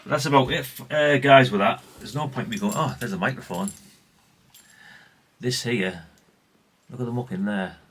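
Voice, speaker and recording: A man's voice: medium pitch, normal speed, fairly bright tone, slightly rough. A middle-aged man chatting casually and colloquially in a rambling vlog, often tagging questions onto what he says. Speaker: vlogger, radio collector.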